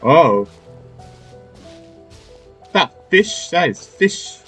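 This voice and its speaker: A woman reacts casually as she plays a game, in a very deep voice. Her commentary is laid-back and offhand, extremely unhurried, with faint game music behind her. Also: sing-song, fairly bright tone, breathy edge. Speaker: gamer playing through a story quest.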